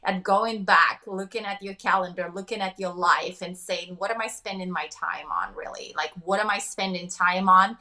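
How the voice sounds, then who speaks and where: A woman from Russia with a Russian accent, speaking fast and emphatically as a business coach in a podcast interview. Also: on the low side, sharp tone.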